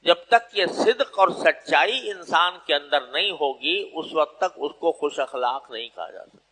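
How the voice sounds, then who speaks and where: A man delivers a religious sermon in a somewhat high-pitched voice that rings out with long hall reverb. He explains formally and humbly, setting out his points in short, deliberate phrases with pauses between them. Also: animated, pushed and bright. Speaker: Islamic preacher addressing listeners. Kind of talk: sermon, unscripted religious exposition.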